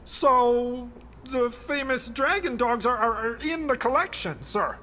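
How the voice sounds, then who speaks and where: An actress playing an earnest police lieutenant in a video game, deliberate and deferential. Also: female voice, on the high side, lively intonation, bright, forceful tone, exaggerated voice. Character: earnest female police lieutenant briefing her boss. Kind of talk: video game cutscene acting.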